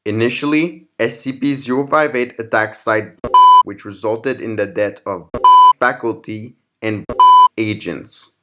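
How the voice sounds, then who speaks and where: A man's voice: lowish voice, unhurried, heavy background noise. A man hosting and narrating scripted horror fiction in the form of documents and logs. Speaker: narrator and channel host.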